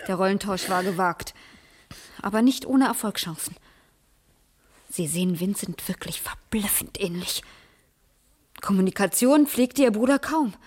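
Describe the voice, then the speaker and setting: An actress playing a sharp, self-assured female private detective in a 1980s East German radio crime drama, with deliberate delivery. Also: medium pitch, expressive, husky. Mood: accusing.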